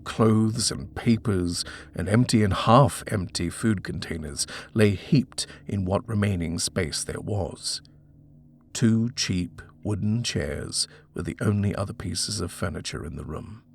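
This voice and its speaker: Guy narrating a horror audiobook, lowish creaky voice, bit of background noise. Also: a little slow. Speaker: audiobook reader. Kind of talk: scripted horror story narration.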